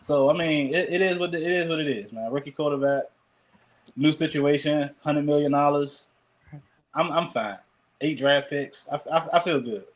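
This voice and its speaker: A man co-hosting a sports podcast, talking casually in a wry, self-mocking way with halting restarts. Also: on the high side.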